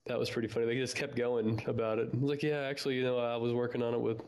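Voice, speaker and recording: A man's voice: mid-range voice, flat delivery, fast talker, darkish tone, clean tone. A man from Texas chatting informally as co-host of a fan podcast about an audio drama. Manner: informal, conversational.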